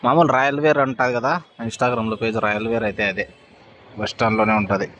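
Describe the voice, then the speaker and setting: A man's voice: lowish voice, fast talker, muffled recording. A man narrating a casual bus travel vlog, chatting to his viewers as friends. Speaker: travel vlogger. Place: on a bus and at bus stands, outdoors. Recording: phone audio with loud road noise.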